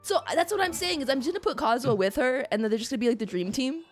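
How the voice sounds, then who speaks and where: A woman's voice: high-pitched, animated, very fast, faint music behind. A woman streamer chatting casually about her play in a rambling, self-deprecating way. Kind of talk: casual chat on a game livestream.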